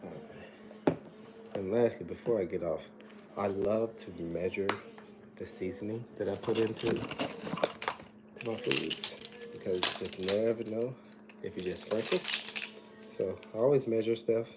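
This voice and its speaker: Man, 30s, nutrition coach, a little hoarse, relaxed, lowish voice, phone mic in a kitchen with loud AC hum. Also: measured pace, long pauses. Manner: relaxed, chatty, advice-giving. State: slightly hoarse, recovering from illness. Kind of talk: casual live cooking chat. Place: home kitchen, indoors. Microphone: phone camera at arm's length, earbuds.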